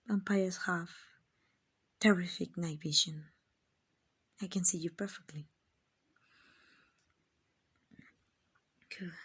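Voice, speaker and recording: A woman playing a kind, doting vampire owner in an ASMR roleplay, soft and often whispering, gently coaxing and reassuring. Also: on the low side, fairly even intonation, a little slow, breathy edge, heavily acted. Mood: soothing, coaxing. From Mexico. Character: kind, doting, genteel female vampire owner, soothing and possessive.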